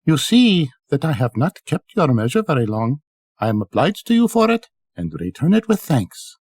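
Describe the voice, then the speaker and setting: Older man as an eager, high-voiced storybook wife. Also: sing-song, moderate pace, a little mellow, slightly rough, no room sound, clean studio sound. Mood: sweet, polite. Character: eager, polite poor wife in an old folktale.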